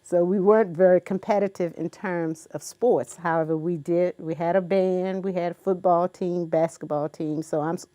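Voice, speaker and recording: A woman in her seventies from Virginia, a guest in an interview, speaking in an unhurried, reflective way with many ums and uhs. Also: fairly low pitch, fairly even intonation, warm tone, dry recording. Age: 70s. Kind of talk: recorded interview.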